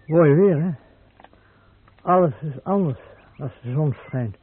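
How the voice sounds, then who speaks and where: An actor playing a lonely, badly burned crash patient who stammers in short, repeated fragments in a radio drama. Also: male voice, on the high side, slow pace, slightly rough. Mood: hesitant. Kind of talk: Dutch radio drama acting. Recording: thin phone-like band, muffled radio recording.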